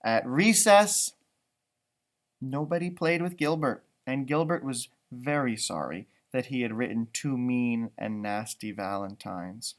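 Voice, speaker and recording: A man reads a children's storybook aloud in an animated, teacherly way. He slips into casual, encouraging asides and stretches his words for young listeners. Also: mid-range voice, unhurried.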